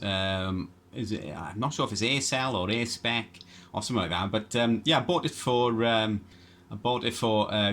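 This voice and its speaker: A man's voice: fairly low pitch, a bit bright. A man chatting casually as an amateur radio operator, hesitant and rambling as he goes. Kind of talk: casual two-way radio chat.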